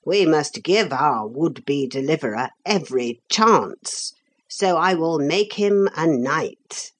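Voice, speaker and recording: A woman's voice: deep voice, animated, takes it slowly, slightly rough, exaggerated voice. A woman voicing a solemn, formal male storybook leader with cold, pompous dignity. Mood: ceremonious. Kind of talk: storybook character voice.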